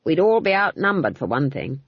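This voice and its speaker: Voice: medium pitch, sing-song, moderate pace, slightly rough, no room sound, dull audio. A narrator voicing a blunt, stern old witch woman with a dry, commanding manner. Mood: dry, brisk.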